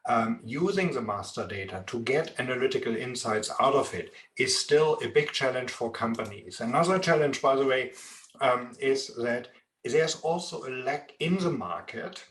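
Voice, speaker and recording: German-accented male expert, measured and explanatory, mid pitch, recorded in a roomy, echoey space. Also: animated, a bit bright. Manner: measured, explanatory, frequent ums. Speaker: interviewee, procurement expert. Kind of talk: expert interview. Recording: roomy echo.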